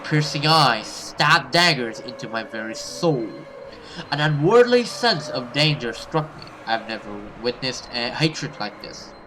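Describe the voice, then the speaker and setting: A man doing expressive let's play commentary and dramatic read-aloud of a horror visual novel as a gaming host. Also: medium pitch, a little slow, background noise. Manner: expressive, reactive, sweary.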